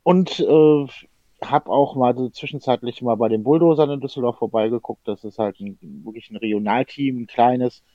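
A man's voice: medium pitch, normal speed, warm tone, no echo. A man chats loosely, spinning long anecdotes full of small restarts and fillers. His voice sits in a dry, close space with a muffled, lo-fi quality. It sounds like a home-made podcast recording. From Germany. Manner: chatty storyteller, many fillers and restarts. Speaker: podcast co-host. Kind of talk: casual chat.

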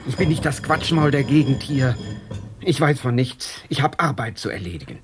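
A man's voice: medium pitch, expressive, moderate pace, noisy background, close to an everyday voice. A man playing a guarded, stubborn village blacksmith in a radio play, curt and protesting. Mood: defensive. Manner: curt, protesting, short sentences. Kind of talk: German radio play acting.

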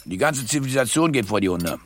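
An actor playing a domineering, hulking rich husband in a German radio play, arrogant, curt and given to lecturing. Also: male voice, medium pitch, lively intonation, fast talker, a bit bright, faint music behind, natural voice. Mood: pompous, alarmed.

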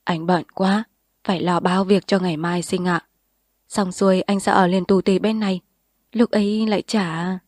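A woman's voice: fairly low pitch, steady tone, normal speed, a little mellow, no room sound, studio quality, close to an everyday voice. A woman reading a story aloud, voicing a bold, scheming village man who talks in a heated, confrontational way. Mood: coaxing.

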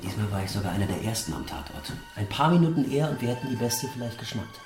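An actor playing a chatty, confident police officer on a murder case in a radio drama. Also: male voice, lowish voice, lively intonation, fast, darkish tone, roomy sound, soft background music, natural voice. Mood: matter-of-fact.

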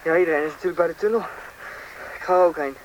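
Woman doing determined stubborn lad in radio drama, talks quick, faint noise. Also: low voice, close to an everyday voice. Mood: determined, restless. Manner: terse, determined. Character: stubborn, brave young boy, Mihail. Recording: faintly noisy radio play recording.

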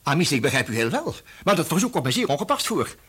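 Fussy old gent in a radio play, formal old-fashioned words, stammers, highish husky voice. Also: male voice, sing-song, quick pace, fairly bright tone, full character voice. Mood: indignant. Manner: formal archaic wording, stammering, fussy and indignant. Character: eccentric, pompous old man guarding his cardboard box. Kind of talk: comic absurdist radio play acting.

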